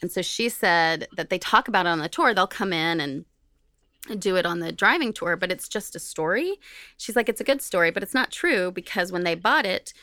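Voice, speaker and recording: A woman's voice: fairly low pitch, brisk, dry recording, studio quality. A woman co-hosting a podcast, telling a story casually and acting out what people said as she goes. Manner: animated retelling, acts out quoted remarks. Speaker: podcast co-host.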